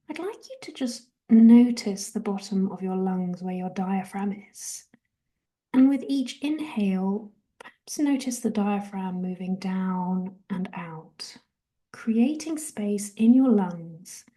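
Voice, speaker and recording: A woman leading a guided meditation in a soft, gentle, breathy half-whisper, giving soothing body scan instructions. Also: mid-range voice. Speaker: guided relaxation and meditation host.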